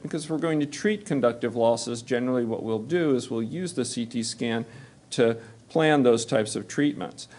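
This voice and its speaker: A middle-aged male physician moderating a medical panel, explaining in a measured way and often saying "you know.". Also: medium pitch, darkish tone. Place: hospital symposium hall.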